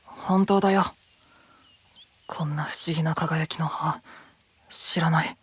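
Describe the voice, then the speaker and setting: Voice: medium pitch, steady tone, slow, husky, no echo. An actor playing a guarded, mysterious youth in an anime-style voice drama, cool and curt. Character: guarded, aloof, mysterious youth, blunt and quiet.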